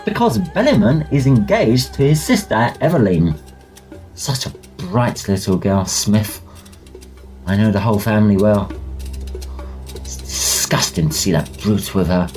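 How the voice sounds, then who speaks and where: A man's voice: lowish voice, very animated, unhurried, darkish tone, soft background music. A man playing a hearty, gossipy young student oarsman in a Victorian audio drama, speaking emphatically in clipped British English. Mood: indignant. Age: young adult.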